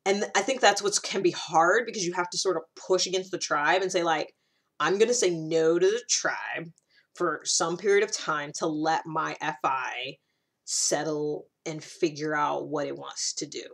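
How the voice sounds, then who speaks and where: A woman's voice: low voice, steady tone, normal speed, gravelly, fairly bright tone. A woman giving a casual explanatory monologue, thinking aloud in a conversational way and leaning on key words. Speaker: personality typing vlogger.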